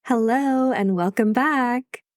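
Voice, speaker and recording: A woman hosting a solo podcast who is a little sick, reading her script in a calm, measured way. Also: a little high, faint music behind. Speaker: podcast host.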